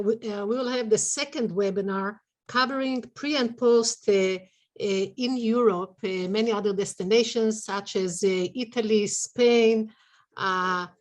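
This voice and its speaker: A woman with an Israeli accent hosts a business webinar over a video call. She speaks haltingly, filling pauses and restarting phrases as she introduces her colleagues. Her voice sits at a middle pitch. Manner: halting, many fillers and restarts. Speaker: webinar host, sales executive.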